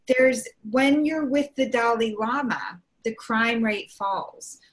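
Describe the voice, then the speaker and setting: A woman hosting a video call, speaking in an earnest, deliberate way. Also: fairly high pitch. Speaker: host. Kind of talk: casual chat.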